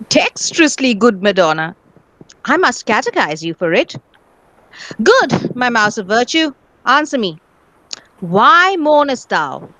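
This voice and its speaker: A woman's voice: on the high side, sing-song, slow pace, pauses often, fairly bright tone, heavily acted, rough recording. A woman playing a witty, teasing male court fool in a dramatic reading of Shakespearean comedy, with a mock-solemn, theatrical manner. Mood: playful, teasing.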